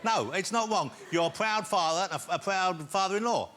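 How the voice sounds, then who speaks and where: A man hosting a talk show, interviewing guests with a halting, repetitive way of phrasing questions. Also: high voice, animated, fairly bright tone. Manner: hesitant, repeats words.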